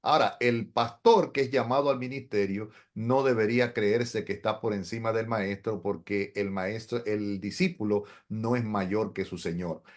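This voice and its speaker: A man's voice: fairly low pitch, steady tone, normal speed, few pauses. A middle-aged man, a pastor, telling his story in an interview in an earnest, reflective way. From Dominican Republic. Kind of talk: interview testimony.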